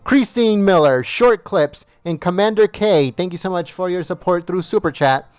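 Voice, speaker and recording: A man's voice: high-pitched, normal speed, clear, resonant tone, dry recording. A man hosting a livestream, reading out viewer names and thanking each in turn while losing his voice, with small stumbles over names. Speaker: livestream host.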